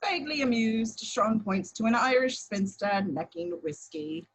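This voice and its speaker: A woman's voice: fairly high pitch, animated, unhurried, vocal fry, breathy edge, low-quality recording. A woman reading out screenplay stage directions as scripted narration in a table read. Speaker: action description reader.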